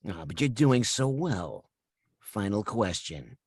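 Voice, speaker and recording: A man acts out a menacing slasher villain on a threatening phone call. The voice is very low and rough with husk, and it moves slowly and deliberately. It taunts with sinister control, recorded close and dry. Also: very animated, a little mellow, no room sound, exaggerated voice. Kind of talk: slasher film table read.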